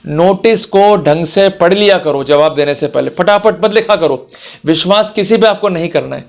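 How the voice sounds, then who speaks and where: A man's voice: high-pitched, normal speed, creaky. A man giving an explanatory lecture as a lawyer, speaking emphatically and instructively straight to the listener. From India. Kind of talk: explanatory legal lecture for online viewers.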